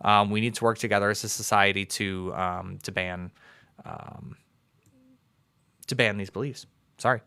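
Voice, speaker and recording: A man's voice: fairly low pitch, steady tone, moderate pace, creaky, pauses often, pushed and bright, clear, resonant tone, dry recording. A young man from the United States hosting a live call-in debate stream, talking casually with many fillers and an upbeat sign-off. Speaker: livestream host.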